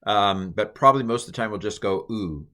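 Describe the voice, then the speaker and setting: A man's voice: on the low side, animated, a little fast, sharp tone. An American man teaching a recorded lesson in a casual, jokey, self-deprecating way, with lots of fillers. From United States. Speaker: Greek teacher.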